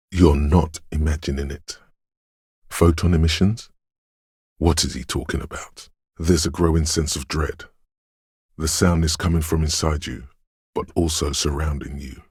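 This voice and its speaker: A man voicing a detective's brooding, mystical intuition in a video game, with a deep, gravelly voice and slow, ominous, dreamlike delivery. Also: darkish tone, no echo, studio quality, exaggerated voice. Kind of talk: scripted video game narration in second person.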